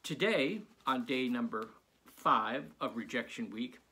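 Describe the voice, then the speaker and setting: A man's voice: mid-range voice, lively intonation, unhurried, pushed and bright, rough recording. An older man, a children's author, talking casually and encouragingly as he introduces a reading of his work.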